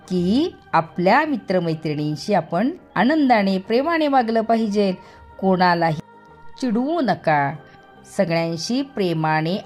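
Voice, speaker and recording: Slow-paced woman telling children's stories. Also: medium pitch. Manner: drawn-out, repeated words for emphasis. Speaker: children's storyteller. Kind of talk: expressive storytelling for young children. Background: background music.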